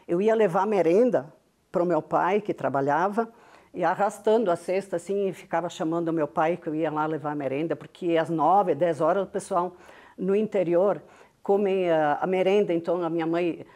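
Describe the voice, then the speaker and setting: A woman's voice: lowish voice, moderate pace, warm tone. An older woman from southern Brazil, whose first language was Venetian Italian, speaking with a heavy accent and telling her story as a guest on an interview show with frequent tag questions. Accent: Italian-Venetian-influenced Brazilian Portuguese from Rio Grande do Sul. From southern Brazil (Rio Grande do Sul). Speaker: guest, business founder.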